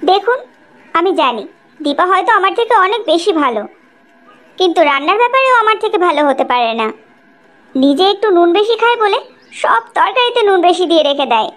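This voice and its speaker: Woman playing a very high-pitched, boastful young daughter-in-law. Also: a bit bright, full character voice. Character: eager, boastful young daughter-in-law proud of her cooking. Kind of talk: cartoon dialogue.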